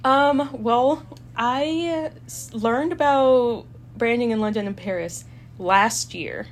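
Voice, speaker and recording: A woman's voice: a little high, slow pace, slight background noise. A young woman from El Salvador, a university student, chatting casually with lots of fillers and easy laughter. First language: Spanish. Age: young adult. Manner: chatty, hesitant with many fillers, laughs easily. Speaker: student guest. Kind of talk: casual chat.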